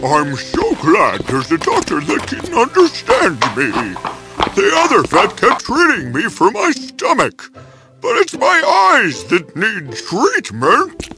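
A man's voice: high voice, wildly swooping pitch, slow pace, music in the background, heavily acted, low-quality recording. A man voicing a simple, earnest talking animal in a cartoon. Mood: relieved.

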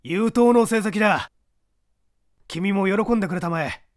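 A male narrator voicing an excitable middle-aged private detective who talks in an old-fashioned, lordly way. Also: high voice, measured pace, voice pushed up. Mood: elated. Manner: old-fashioned lordly speech, flustered and effusive.

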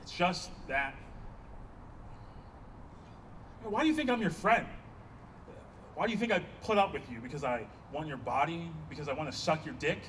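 High-pitched, exasperated man acting on stage. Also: animated, a little fast. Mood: sardonic. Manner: emphatic, sarcastic, sharp-tongued. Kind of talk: staged play reading. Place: small outdoor stage by a block wall. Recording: distant outdoor stage pickup, faint ambient noise.

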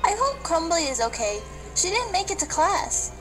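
A woman's voice: very high-pitched, very animated, moderate pace, music in the background, low-quality recording. A woman playing a friendly, perky, outspoken cookie schoolgirl in a children's radio play. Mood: concerned, friendly.

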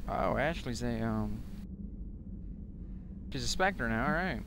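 A man's voice: medium pitch, animated, slow, gravelly, background noise. A man giving casual, hesitant and joking commentary over a game playthrough. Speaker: gameplay video host. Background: video game sound.

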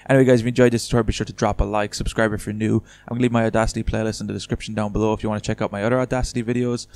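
A man hosting a casual software tutorial, explaining things in an informal, chatty way. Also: fairly low pitch, little pitch movement, racing. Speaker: tutorial host.

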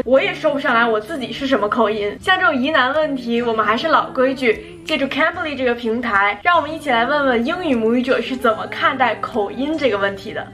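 A young woman in her twenties hosting, asking tutors questions on a video call in a small bedroom, bubbly and quick to laugh. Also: a little high, fairly bright tone. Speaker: host interviewing tutors. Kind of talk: casual interview and vlog.

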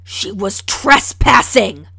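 A woman's voice: mid-range voice, lively intonation, takes it slowly, pushed and bright, no echo, clean studio sound, exaggerated voice. A woman playing a huge, fierce, angry female beast in an audio drama, with a growling, commanding, menacing delivery. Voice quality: growling, husky.

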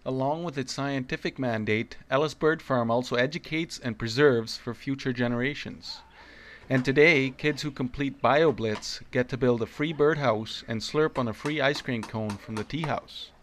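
A man narrating a community news report in a steady announcing manner. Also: medium pitch, normal speed.

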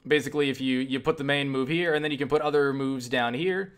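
Man, mid-pitched voice, casual gameplay commentary, enthusiastic, sweary, rambling and halting. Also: smooth. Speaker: video game reviewer and player.

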